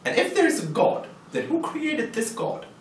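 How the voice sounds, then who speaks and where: A man's voice: very high-pitched, very animated, moderate pace, recorded from a distance. A man playing a brash, scornful atheist in a scripted debate, challenging and impatient. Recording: roomy echo, off-mic.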